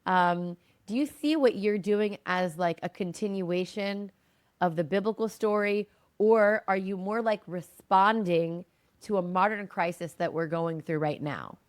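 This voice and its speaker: A woman's voice: mid-range voice, measured pace. A young woman from Tennessee hosting an interview podcast, keen and casual, filling her sentences with "like" and "you know". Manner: enthusiastic, uses casual fillers like "like". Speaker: podcast host. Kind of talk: interview conversation.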